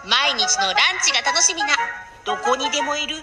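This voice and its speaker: A woman's voice: high voice, animated, a little fast. A woman playing a self-assured single office lady of about thirty, a romantic dreamer narrating her own audio drama. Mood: dreamy narration. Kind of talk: audio drama acting with first-person narration. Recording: loud music over the voice.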